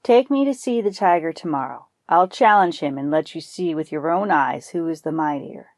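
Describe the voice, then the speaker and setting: A woman's voice: low-pitched, expressive, normal speed, darkish tone, no echo. Storybook buffalo, played by a woman: long-suffering male, proud, stubborn, emphatic challenger in a dry recording. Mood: indignant.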